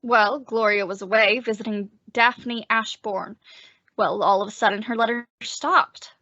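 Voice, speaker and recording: A woman's voice: mid-range voice, fairly even intonation, a little slow, bright, forceful tone, no room sound. A woman playing a desperate, lovesick husband with urgent, earnest pleading, in old-time radio drama style. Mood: worried. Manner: urgent, imploring delivery. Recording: dull lo-fi close dry recording.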